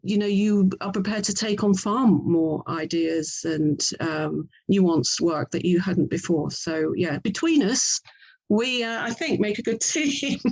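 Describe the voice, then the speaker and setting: A woman's voice: mid-range voice, measured pace, darkish tone, breathy edge, rough recording. A middle-aged woman with an English accent talking casually as an interview guest, thoughtful and chatty. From England. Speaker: writer and audio drama producer, interview guest.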